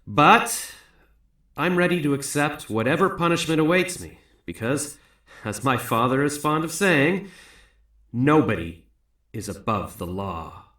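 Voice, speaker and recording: An actor playing a politician's guilt-ridden son, giving a deliberate, formal statement in an audio drama. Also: male voice, on the high side, very animated, fairly bright tone. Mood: resolute, contrite. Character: mayor's guilt-ridden son making a public confession. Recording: roomy, echoing recording.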